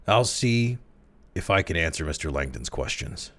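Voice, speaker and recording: Brief: low, terse office underling holding steady, read by a man. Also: normal speed, exaggerated voice. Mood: tense. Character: timid male clerk finding his nerve.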